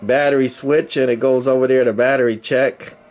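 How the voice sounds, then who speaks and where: A man with a mid-pitched voice talks through a piece of equipment off the cuff. His delivery is halting and matter-of-fact, like a hobbyist presenter, recorded indoors on a handheld camera's microphone. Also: fairly even intonation, a little mellow. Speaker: hobbyist presenter demonstrating radio gear. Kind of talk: casual unscripted product demonstration. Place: indoors at a home radio bench. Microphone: handheld camera mic, speaker behind camera.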